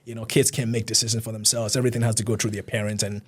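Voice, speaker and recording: A man's voice: fairly low pitch, flat delivery, very fast, breathy edge. A man, a physician guest talking earnestly and often repeating himself in a casual interview. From Ghana.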